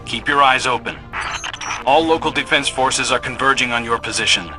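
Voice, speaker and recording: A man's voice: mid-range voice, normal speed, close to an everyday voice, poor audio. A man playing a no-nonsense military operator in a video game, giving clipped, businesslike mission updates. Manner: clipped, businesslike, plain-spoken. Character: no-nonsense male military pilot and tactical operator. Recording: faint music behind the voice.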